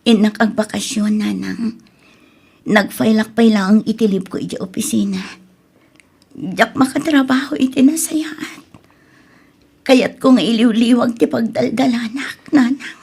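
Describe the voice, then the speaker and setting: An actress playing a soft-hearted young woman in love in an Ilocano radio drama, with an Ilocano accent, speaking emotionally and hesitantly in a breathy voice. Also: a little high, fairly even intonation, measured pace, pauses often, exaggerated voice. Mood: subdued, sad.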